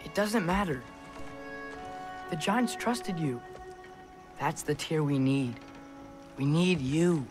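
A man's voice: on the high side, takes it slowly, pauses often, long reverb tail. A young male actor playing an earnest, idealistic teenage boy in a video game. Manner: earnest, persuasive. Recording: game cutscene audio with music.

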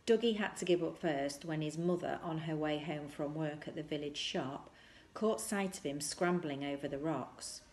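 A woman's voice: deep voice, moderate pace, a little mellow. A middle-aged woman reading a children's story aloud. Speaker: volunteer reader. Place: small indoor room at home.

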